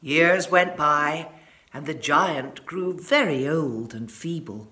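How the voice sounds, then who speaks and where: A woman reads a children's story expressively, with dramatic phrasing that drops into hushed tones. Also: deep voice, slow pace. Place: furnished living room, indoors.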